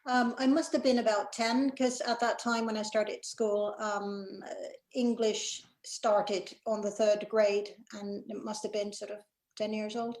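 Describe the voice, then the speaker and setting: A middle-aged woman from Finland with a Finnish accent leaning mid-Atlantic, chatting as an interview guest over a video call, thoughtful and pausing with frequent ums. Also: medium pitch, level tone, a little mellow. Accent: Finnish, with a mid-Atlantic lean. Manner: thoughtful, hesitant with many ums, laughs easily. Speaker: guest, executive director. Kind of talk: casual interview chat. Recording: echoey online call.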